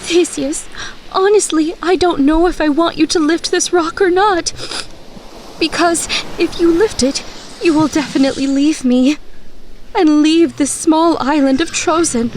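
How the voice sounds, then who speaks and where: A woman voicing a loving, worried mother in an animated story, speaking tenderly and solemnly. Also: sits high, measured pace, a little hiss or hum. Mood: torn. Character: loving mother of a young hero, worried, wise.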